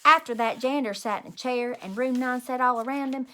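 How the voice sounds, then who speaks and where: Female teacher, storybook read-aloud: high, bright, emphatic delivery. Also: fairly quick. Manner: animated, emphatic. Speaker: teacher reading aloud to children. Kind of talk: expressive children's storybook reading.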